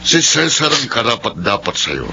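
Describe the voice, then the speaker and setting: A man's voice: mid-range voice, very animated, moderate pace, vocal fry, pushed and bright, exaggerated voice. An actor playing a stern, scheming middle-aged uncle who scolds his niece. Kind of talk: radio-drama acting. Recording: muffled lo-fi recording, faint hum.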